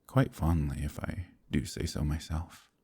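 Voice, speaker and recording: An extremely deep, dark male voice full of gravelly fry , a man acting out a role. He is a haunted, courteous gentleman hiding that he is a werewolf. His soft-spoken, halting, old-fashioned phrasing suits an intimate ASMR audio drama. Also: fairly even intonation, exaggerated voice. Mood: polite, awkward. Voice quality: gravelly, husky, breathy at times. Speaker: voice actor in an audio roleplay. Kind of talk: dramatic ASMR roleplay monologue.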